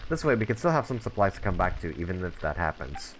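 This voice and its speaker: Man as stern desert mercenary dad, low voice, grave, cautious, video game dialogue, faint music. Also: very animated, quick pace, rough recording. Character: calm, stern, watchful mercenary father.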